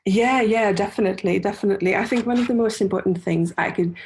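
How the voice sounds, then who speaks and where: A woman from Hungary, a podcast guest, answering thoughtfully in a halting way with many repeated words. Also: mid-range voice, darkish tone, a little hiss or hum. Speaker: guest, UX designer. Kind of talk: casual interview answers.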